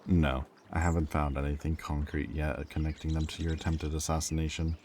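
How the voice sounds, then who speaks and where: Man playing a very deep-voiced, wry ex-villain. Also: flat delivery, fairly quick, darkish tone, a little hiss or hum. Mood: wry, questioning. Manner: casual, teasing, earnest. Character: wry, loyal ex-villain thief, man, friend of a hero. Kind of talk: roleplay audio drama acting, conversational.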